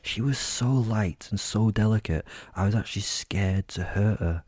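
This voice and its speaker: Casting: male narrator for horror drama, low and dark-toned, sardonic, very dry close sound. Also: moderate pace, slightly rough, dry recording. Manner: sardonic, wry, brooding. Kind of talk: first-person dramatic storytelling in character.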